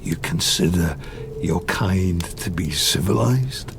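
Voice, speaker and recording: A man playing a lonely, wounded, eloquent monster in an audio drama, speaking slowly and solemnly. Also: low-pitched, animated, vocal fry, music in the background, heavily acted. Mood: bitter, wounded. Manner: slow, deliberate, solemn storytelling.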